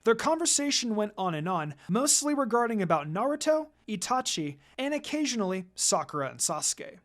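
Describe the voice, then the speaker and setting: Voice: medium pitch, sing-song, brisk. A narrator reading a fan fiction story aloud as scripted narration.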